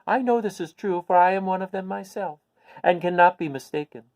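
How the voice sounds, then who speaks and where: A man voicing a kindly little old woman, a good witch from a storybook, speaking in an emphatic, deliberate way. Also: high-pitched, darkish tone, no echo, pitched above the performer's own voice. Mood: earnest explaining. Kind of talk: storybook character voice.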